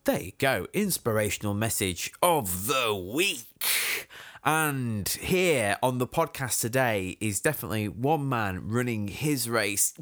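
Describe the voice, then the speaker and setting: A man's voice: medium pitch, lively intonation, unhurried, a bit bright, dry recording, clean studio sound. A young man hosting a podcast, chatting casually with a jokey, self-mocking energy. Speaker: podcast host.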